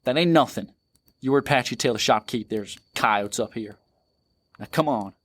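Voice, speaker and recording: A man acts out a ruthless Old West bandit. He is greedy and bossy, speaking in folksy dialect at a middling pitch, as in an audio western. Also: normal speed, no room sound, soft background music, natural voice. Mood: cold, determined. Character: greedy, ruthless, domineering male bandit, older brother type.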